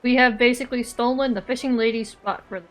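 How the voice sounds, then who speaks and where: Casting: female game streamer, notably high voice, casual playful banter, blunt exclamations, light music underneath. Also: fairly even intonation, fast talker, poor audio. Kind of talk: casual gameplay commentary.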